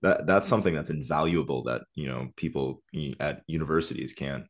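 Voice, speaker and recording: A man's voice: extremely low pitch, brisk. A man hosting a podcast interview, asking long, rambling questions with many hesitations. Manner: rambling, hesitant questions full of fillers and restarts. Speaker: podcast host.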